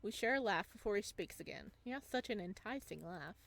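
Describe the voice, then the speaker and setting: A woman reading a visual novel aloud as a let's-play host, with expressive narration and casual asides. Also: medium pitch, a little fast, gravelly.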